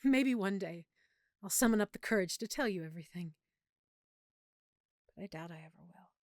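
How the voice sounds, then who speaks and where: A woman playing a lovesick, guilt-ridden vampire girlfriend in an intimate audio roleplay, speaking tenderly and confidingly with dramatic pauses. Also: mid-range voice, animated, darkish tone, dry recording, studio quality, lightly acted. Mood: guilty, tender. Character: lovesick, guilt-ridden female vampire hiding her nature from boyfriend.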